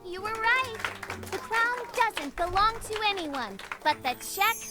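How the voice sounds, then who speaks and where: An actress voicing an earnest puppet royal in a theatrical marionette show within a video game. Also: female voice, very high-pitched, expressive, measured pace, loud music behind the voice. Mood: indignant, earnest.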